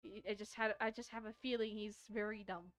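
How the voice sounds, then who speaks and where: A woman's voice: medium pitch, steady tone, fairly quick. A woman gaming streamer doing casual let's-play commentary, reading a visual novel aloud and often stumbling and repeating words as she reads.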